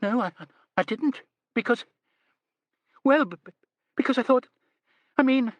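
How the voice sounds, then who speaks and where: A breathless young woman with a high squeak, as a male narrator puts her on. She stammers and tumbles over her words, her voice leaping all over. The reading is dry and close. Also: very animated, moderate pace, fairly bright tone, breathy edge, dry recording, lo-fi sound, full character voice. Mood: excited gossip. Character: nervous gossipy young woman who squeaks.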